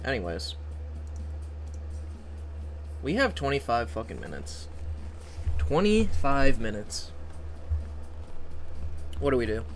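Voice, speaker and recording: A young man chatting casually on a livestream as he plays, trailing off into quiet, mumbled asides and swearing now and then. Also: mid-range voice, huge pitch swings, takes it slowly, long pauses, soft background music. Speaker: streamer.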